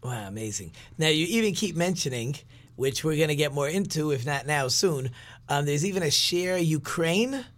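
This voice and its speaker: A man hosting an interview, reacting enthusiastically and asking quick follow-up questions. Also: on the high side, animated, fairly bright tone. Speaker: interview host. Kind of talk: conversational interview.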